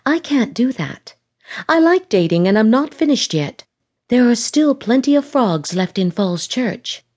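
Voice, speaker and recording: A woman's voice: a little high, sing-song, unhurried, darkish tone, pitched above the performer's own voice. A woman reading the lines of a frustrated, defiant young woman in an audiobook romance. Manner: heated, defensive.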